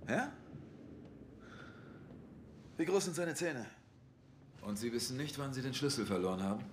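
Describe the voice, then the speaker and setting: An actor playing a dry, quirky police detective in a dubbed TV drama, questioning in a deliberate way. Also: male voice, mid-range voice, big pitch swings, slight background noise, lightly acted. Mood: probing.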